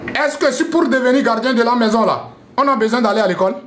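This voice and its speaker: A man's voice: very high-pitched, fast talker, echoey room, a little hiss or hum. A man ranting scornfully on a live stream, repeating himself and mixing French with a local language. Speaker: live streamer addressing viewers. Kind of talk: heated live-stream rant.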